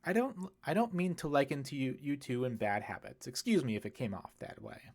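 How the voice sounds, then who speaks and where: A kindly priest in an audiobook, acted out by a man, muses and explains with gentle wit. His mid-pitched voice rises and falls in a very lively way, and his manner is reflective and apologetically polite. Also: a little fast. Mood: fond, earnest. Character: kindly, musing, gently witty adult male priest. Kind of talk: audiobook character dialogue.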